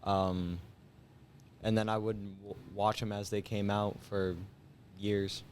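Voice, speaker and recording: An eighteen-year-old man chatting casually as a guest, halting, with youthful slang and lots of "like". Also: fairly low pitch, level tone. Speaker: guest, high school senior. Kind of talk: casual chat. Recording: noticeable background noise.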